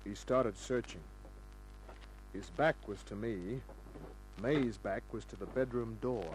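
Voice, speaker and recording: A man's voice: on the low side, slow pace, a little mellow, slight background noise, low-quality recording. An actor playing a tough private detective who wryly narrates his cases in a 1950s radio drama. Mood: wry narrating. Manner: wry, hard-boiled.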